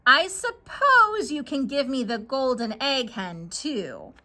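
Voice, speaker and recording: A woman voicing a small, plucky, quick-witted girl in a children's story, expressive and dramatic. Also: high-pitched, heavily acted. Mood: brash bargaining. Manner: exclaiming, expressive, eager.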